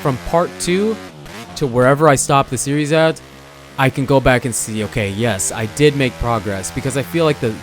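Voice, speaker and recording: A young man with a hoarse, fading voice, chatting casually as he comments on his own gameplay, often stopping to correct himself. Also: medium pitch, normal speed, faint music behind. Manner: rambling, self-correcting, chatty. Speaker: gaming channel host.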